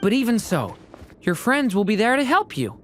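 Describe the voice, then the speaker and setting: A woman voicing a gentle, sincere young boy in a video game, reassuring and encouraging. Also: mid-range voice, sing-song, moderate pace, soft background music, lightly acted. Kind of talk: video game character acting.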